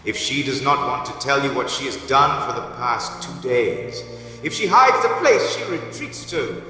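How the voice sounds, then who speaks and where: A man's voice: mid-range voice, expressive, moderate pace, sharp tone. A man playing a brooding, taunting inner voice in an audio drama. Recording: heavy echo, long reverb, music behind.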